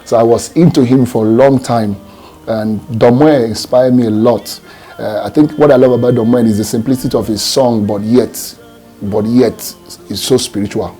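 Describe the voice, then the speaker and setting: A man's voice: mid-range voice, fairly even intonation, normal speed, warm tone, soft background music. A Nigerian man, a gospel singer, answering interview questions in a reflective, hesitant way with many fillers and restarts.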